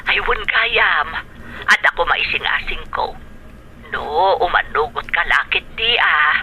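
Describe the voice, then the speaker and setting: A woman playing a caring, concerned middle-aged older sister with a creaky voice in an Ilocano radio drama. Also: fairly low pitch, sing-song, a little slow, sharp tone, muffled recording, slight background noise, heavily acted. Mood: warm, persuading.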